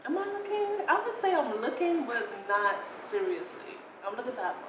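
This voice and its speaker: A twenty-five-year-old woman from Brooklyn, answering interview questions in a relaxed, thoughtful way with lots of "like". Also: high-pitched, normal speed. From Brooklyn, New York. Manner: relaxed, thoughtful, says "like" often. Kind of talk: casual reflective interview answers. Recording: very echoey, mic at a distance, faint noise.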